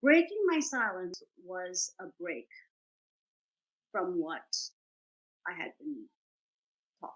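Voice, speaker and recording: A woman's voice: fairly low pitch, sing-song, slow pace, pauses often, fairly bright tone, dull audio. A woman in her forties, a playwright talking in an interview, earnest and reflective, often restarting and searching for words. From grew up in Argentina and India. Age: 40s. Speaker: guest, playwright and survivor.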